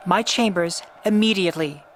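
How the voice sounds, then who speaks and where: A woman's voice: lowish voice, normal speed, big-room sound, soft background music, natural voice. Woman as a stern, commanding sun princess pony. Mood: stern, angry. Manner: commanding, clipped, scolding. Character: stern adult ruling sun princess pony, elder sister. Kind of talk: fan radio drama acting.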